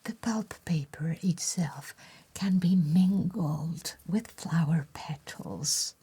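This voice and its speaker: Woman acting as courteous letter writer, breathy whisper, very slow, mellow and creaky, formal, ASMR roleplay. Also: on the low side, exaggerated voice. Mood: gentle, attentive. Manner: gentle, deliberate, formal salesmanship. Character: courteous, attentive professional letter writer in a stationery shop.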